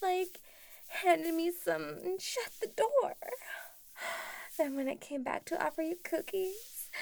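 A woman's voice: very high-pitched, creaky, full character voice. A woman playing a tipsy, doting older neighbor. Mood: teasing. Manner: soft flirty coaxing, slipping into whispers. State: a little drunk. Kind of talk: audio roleplay.

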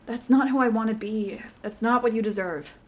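A woman's voice: on the high side, moderate pace, natural voice. A woman playing a young girlfriend with a temper in a listener-directed audio roleplay, speaking emotionally and earnestly straight to the listener. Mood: remorseful.

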